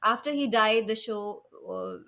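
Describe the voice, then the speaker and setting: A woman on a film podcast, speaking softly and hesitantly with many ums. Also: fairly high pitch, unhurried. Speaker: podcast guest. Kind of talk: casual podcast discussion.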